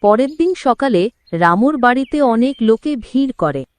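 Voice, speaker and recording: A woman's voice: on the high side, animated, normal speed, clear, resonant tone, dry recording. Female cartoon storyteller, dry recording. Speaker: narrator. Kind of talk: scripted storybook narration for a cartoon.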